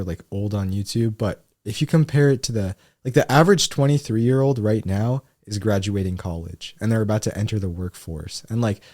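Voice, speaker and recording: A man talking casually on a podcast, reflective and thoughtful, often filling pauses with "like". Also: fairly low pitch, a little mellow, no room sound, clean studio sound. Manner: thoughtful, reflective, frequent "like" fillers.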